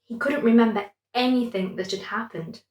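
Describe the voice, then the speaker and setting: A woman's voice: fairly high pitch, moderate pace, a little mellow, roomy sound. A woman reading a scripted bedtime story as its narrator.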